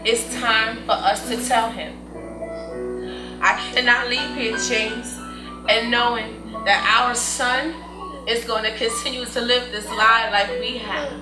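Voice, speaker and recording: A gravely ill American woman, played by an actress in a gospel stage play, speaking slowly in a fairly high voice. She is guilt-ridden and pleads haltingly. It is recorded from a distance on an echoey stage. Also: steady tone, music in the background. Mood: desperate pleading. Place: indoor stage before an audience.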